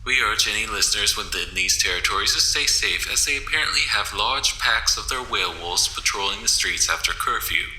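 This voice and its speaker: A man's voice: fairly low pitch, fairly quick, few pauses, bright, forceful tone. A man playing a solemn wartime radio newsreader delivering a scripted bulletin in an audio drama. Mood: grave, official. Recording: roomy echo, faint noise.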